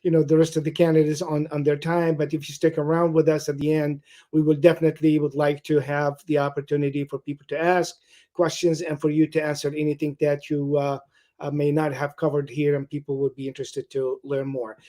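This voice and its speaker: A man moderating a candidate forum, speaking haltingly with many "uh" and "um" fillers. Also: on the high side, flat delivery, mellow.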